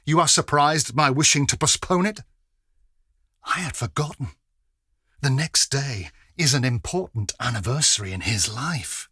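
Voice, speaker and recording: An actor playing a coldly vengeful, implacable man with intense, deliberate delivery in an audio drama. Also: medium pitch, lively intonation, bright, forceful tone, husky, no room sound, studio quality. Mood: urgent, secretive.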